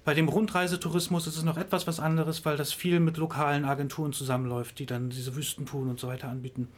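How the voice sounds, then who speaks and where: A man's voice: a little high, level tone, fast. A man answering interview questions, explaining thoughtfully with frequent hesitations and fillers. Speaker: interviewed expert on tourism.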